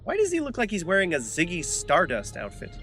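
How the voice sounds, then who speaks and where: An actor playing a chatty, excitable male sidekick in a comic sci-fi audio drama, with an eager, jokey delivery. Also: high-pitched, a little fast, full character voice. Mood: curious, chatty. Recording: loud music under the dialogue.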